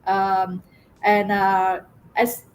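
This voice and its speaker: A middle-aged woman from Vietnam with a Vietnamese accent, telling her story as an interview guest, halting with many fillers and repeated words. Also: mid-range voice, monotone.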